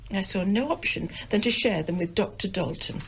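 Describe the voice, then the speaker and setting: A woman's voice: medium pitch, lively intonation, normal speed, gravelly, slight background noise. An actress playing a domineering, self-pitying older English village mother in a radio drama, haughty and querulous. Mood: self-pitying, reproachful. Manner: querulous, haughty, melodramatically aggrieved.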